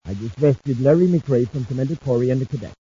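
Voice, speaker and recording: A man's voice: medium pitch, quick pace, dark tone, natural voice. An actor playing a desperate, menacing office manager turned gunman in a 1950s radio serial. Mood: cold, insistent. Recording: muffled, noisy old radio recording.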